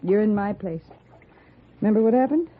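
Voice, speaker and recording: A woman's voice: medium pitch, lively intonation, moderate pace, slight background noise, natural voice. An actress playing a hard-bitten, cynical saloon woman in an old-time radio western. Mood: scornful. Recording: tinny, narrow old radio recording.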